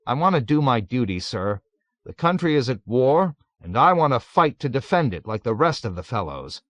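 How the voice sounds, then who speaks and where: A man's voice: mid-range voice, very animated, moderate pace, no echo, pitched above the performer's own voice. A man doing a meek, sly young officer. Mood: demure. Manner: demure, soft, quietly insistent.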